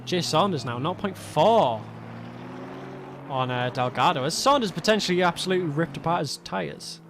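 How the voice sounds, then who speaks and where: A man's voice: a little high, animated, moderate pace, background noise. Chatty man commentating a sim race. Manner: chatty, self-mocking, laughs often. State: voice going hoarse. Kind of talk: solo sim racing commentary. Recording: game car sounds behind.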